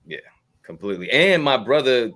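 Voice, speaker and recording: A man's voice: mid-range voice, sing-song, moderate pace, a bit bright. An American man chatting casually in slang as co-host of a football fan show. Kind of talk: casual chat.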